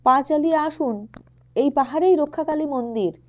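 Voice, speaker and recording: A lively young woman as a woman storyteller acts her out from a romantic audio story. She is playful and teasing, her voice lifted well above a plain telling. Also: high voice, moderate pace, voice pushed up, close to an everyday voice. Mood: urging.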